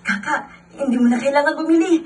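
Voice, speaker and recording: A woman voicing an excitable young boy in a wheelchair in a student radio drama. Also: high voice, quick pace, recorded from a distance, natural voice. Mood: excited. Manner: childlike, squealing.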